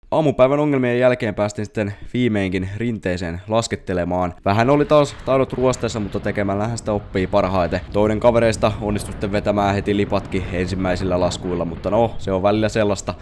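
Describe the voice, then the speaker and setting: A young adult man narrating a travel vlog in a casual, conversational way. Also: fairly low pitch. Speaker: vlogger. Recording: steady background noise, vehicle ambience.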